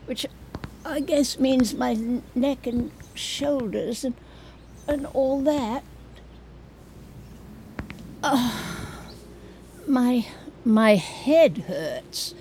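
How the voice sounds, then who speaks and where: A woman's voice: sits high, a little mellow, slight background noise, heavily acted. A woman playing a crotchety, bossy elderly woman who is hurt, scolding and groaning in an audio comedy drama. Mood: pained, weary.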